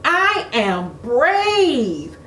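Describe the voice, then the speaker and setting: Lady reader doing a brave little storybook girl, high n sing-songy, kinda echoey room. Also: huge pitch swings, very slow, a bit bright, a little hiss or hum, full character voice. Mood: nervous bravado. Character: brave, boastful little girl, scared when lost. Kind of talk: children's storybook read-aloud.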